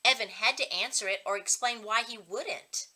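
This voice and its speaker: A woman reading a children's story aloud as a reading coach, in expressive narration. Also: fairly high pitch, normal speed.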